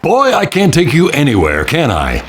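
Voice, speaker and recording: A man's voice: on the high side, huge pitch swings, moderate pace, a bit bright, a little hiss or hum. An actor playing a dry, teasing older martial arts mentor, a man, in an audio drama. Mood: wry.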